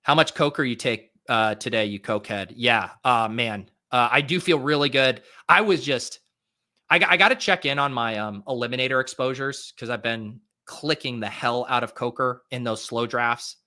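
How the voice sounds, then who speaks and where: A nasal-voiced man hosts a casual live stream at his computer and thinks aloud about every pick. His tone is bright and a little tense, and he trails off into fillers and restarts as he goes. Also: medium pitch. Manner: rapid, chatty thinking aloud with many fillers. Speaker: fantasy football draft streamer and host. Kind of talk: casual live-stream chat while drafting.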